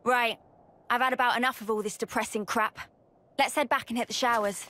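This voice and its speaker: A woman's voice: fairly high pitch, steady tone, fairly quick, a bit bright, close to an everyday voice. A woman playing a brash, teasing young soldier in a video game, with a British accent and blunt, sarcastic, slangy delivery. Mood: brisk, mocking. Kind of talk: video game cutscene acting.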